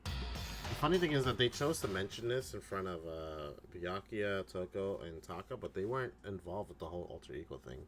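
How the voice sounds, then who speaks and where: A man's voice: deep voice, animated, brisk. A man streaming a game, reading its narration aloud and chatting casually between lines. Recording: music behind the voice.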